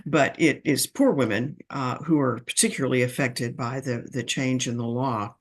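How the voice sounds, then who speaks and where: Man, mid pitch, halting, many pauses, long careful question. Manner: slow, halting, many fillers. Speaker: audience member asking a question. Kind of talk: online discussion question.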